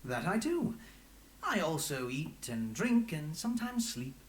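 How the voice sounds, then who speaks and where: Flamboyant, glib bard with swooping pitch. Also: male voice, big pitch swings, measured pace. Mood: playful. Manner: flamboyant, teasing, florid. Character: glib theatrical male bard and underworld agent, sly. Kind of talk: scripted video game acting.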